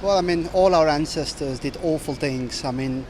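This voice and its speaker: A man from Morocco answering earnestly and quickly on a city street. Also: fairly high pitch. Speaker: passer-by. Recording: loud street traffic.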